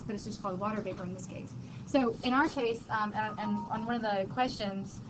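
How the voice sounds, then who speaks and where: Chatty woman teaching chemistry class. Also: fairly high pitch. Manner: chatty, joking, quizzes students. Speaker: high school chemistry teacher. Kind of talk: casual classroom lecture. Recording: muffled classroom recording, background noise.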